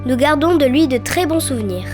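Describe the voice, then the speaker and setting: Female documentary narrator, high-pitched, scripted read over a clear music bed. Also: animated, fairly quick.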